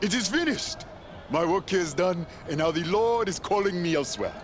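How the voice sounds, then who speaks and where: An actor playing a zealous, guilt-ridden preacher in a video game, theatrical and sermonizing. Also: male voice, high-pitched, lively intonation, moderate pace, music in the background. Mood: grateful, solemn. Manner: theatrical, sermonizing, quoting scripture. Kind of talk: video game cutscene acting.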